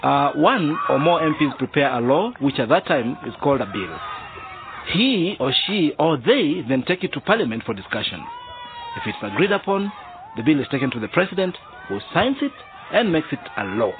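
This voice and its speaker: A man's voice: on the high side, big pitch swings, normal speed. A man playing a genial but firm police sergeant and father, teaching boys in a radio drama, chuckling and hesitating. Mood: genial, instructive. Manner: chuckling, didactic, hesitant fillers. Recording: noisy, tinny phone-like radio drama recording.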